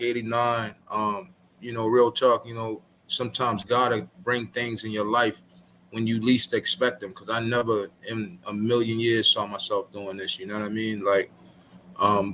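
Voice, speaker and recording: A man from Baltimore, an actor, joining an interview by video call from a film set, animated and joking in casual slang. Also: medium pitch, a little hiss or hum, low-quality recording. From Baltimore, USA. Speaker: actor guest.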